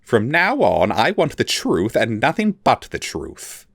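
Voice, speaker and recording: A man voicing a pompous, cruel academic interrogator in an audiobook, speaking slowly with a sneering, purring delivery. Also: mid-range voice, wildly swooping pitch, vocal fry, fairly bright tone, no room sound, clean studio sound, voice pushed up, exaggerated voice. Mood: cold, demanding. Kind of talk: audiobook character voice.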